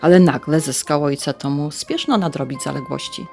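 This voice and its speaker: An actress playing a chatty, warm-hearted middle-aged mother in a radio drama, with colloquial, expressive speech. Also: low voice, normal speed, gravelly, music in the background. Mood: gentle, advising. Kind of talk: radio drama dialogue, conversational.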